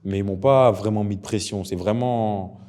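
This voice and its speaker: A young man from Ivory Coast, a professional footballer, chatting casually as a guest, relaxed and slangy, repeating himself often. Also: fairly low pitch, moderate pace. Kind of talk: casual interview chat.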